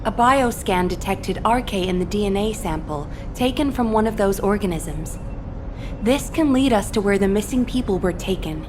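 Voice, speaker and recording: A woman's voice: medium pitch, expressive, moderate pace, over music, natural voice. A woman playing a composed military briefing officer in a video game, speaking formally and instructively. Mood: serious, composed. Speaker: mission briefer. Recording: clear background noise.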